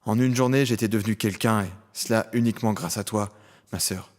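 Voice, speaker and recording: Un acteur joue un jeune homme tourmenté, ancien orphelin des rues, dans une fiction audio au ton solennel et littéraire. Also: male voice, on the low side, fairly quick, a little mellow, lightly acted. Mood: wistful, solemn. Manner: solemn, literary, nostalgic. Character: brooding young man, former street orphan, recalling childhood with emotion. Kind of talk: dramatic first-person audio drama narration.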